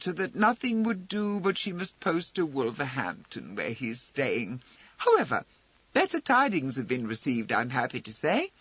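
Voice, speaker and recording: Man putting on a bossy high-born lady, brisk and upbeat, dull dry lo-fi sound. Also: no echo. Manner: brisk, high and emphatic, organizing everyone. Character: commanding, brisk young aristocratic lady. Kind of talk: audiobook character voice.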